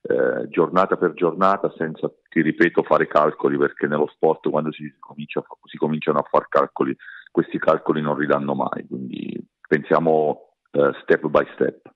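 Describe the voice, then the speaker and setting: A man giving measured, hesitant answers as a sports coach in a radio interview. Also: very deep voice, fairly even intonation, no echo, narrow, tinny audio. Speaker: basketball coach being interviewed.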